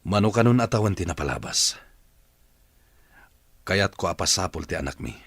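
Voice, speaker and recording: Aktor a nababa ken paraw a timek ti negosiante. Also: male voice, deep voice, lively intonation, moderate pace, gravelly, a bit bright, husky, no room sound, heavily acted. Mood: serious, insistent. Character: stern businessman searching for his lost child. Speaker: actor in a radio drama. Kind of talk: Ilocano radio drama acting.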